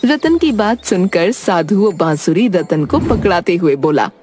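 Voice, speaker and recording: A woman's voice: fairly low pitch, animated, measured pace, darkish tone, soft background music. A woman narrating a cartoon moral story from a script.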